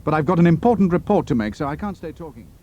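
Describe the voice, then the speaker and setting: An actor playing a cool, quick-witted military pilot in an audio drama. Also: male voice, a little high, expressive, darkish tone, muffled recording, slight background noise, close to an everyday voice. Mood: brisk, guarded.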